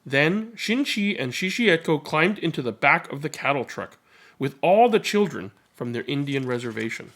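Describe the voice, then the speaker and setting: A man reading a children's picture book aloud as narrator, in a measured, expressive and dramatic storytelling manner. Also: a little high.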